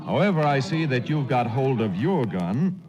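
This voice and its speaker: A dull vintage radio recording with crowd-like sound behind a resolute western hero, played by a man. He speaks and narrates in a medium pitch with confident, steady determination in 1940s drama style. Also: moderate pace, close to an everyday voice. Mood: firm challenge. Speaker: lead actor and narrator.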